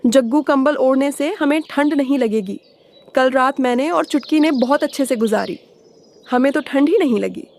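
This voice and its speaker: A woman's voice: sits high, moderate pace, darkish tone, slight background noise. A woman voicing a small, innocent but defiant child sparrow in a cartoon, with a childlike manner. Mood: proud. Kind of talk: Hindi moral cartoon voice acting.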